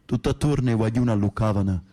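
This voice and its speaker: An actor with a thick Neapolitan accent playing a brooding, hardened mafia henchman in prison in a radio drama, gruff and blunt in dialect. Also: on the low side, quick pace, mellow, natural voice. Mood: bitter, angry. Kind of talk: Italian radio theatre acting.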